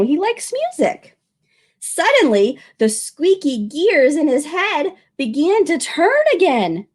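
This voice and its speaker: High-pitched, very lively woman reading to children. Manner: expressive, drawn-out words, gasps and asides to young listeners. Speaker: children's librarian reading aloud. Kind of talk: animated picture-book read-aloud for children.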